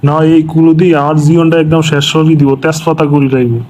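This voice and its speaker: A man's voice: fairly high pitch, steady tone, fast, a little mellow, slight background noise, close to an everyday voice, poor audio. An actor voicing a panicky, self-pitying corrupt official and father in a comic cartoon, speaking in a regional Bangladeshi dialect. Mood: anxious self-pity.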